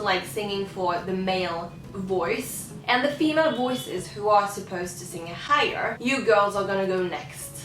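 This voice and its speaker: A woman's voice: mid-range voice, fairly bright tone. Young woman telling a personal story, roomy sound. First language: not English. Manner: earnest, open and confessional. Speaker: singer and vlogger. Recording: roomy sound, slightly distant from the mic.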